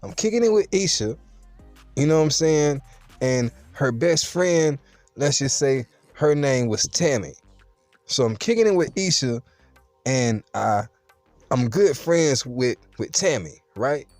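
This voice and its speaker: A man's voice: mid-range voice, unhurried, no room sound. Young guy spinning a storytime tale, slangy, voice jumping all over, dry close mic with light music under it. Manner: animated, slangy, talks straight to the viewer. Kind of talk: casual storytime monologue.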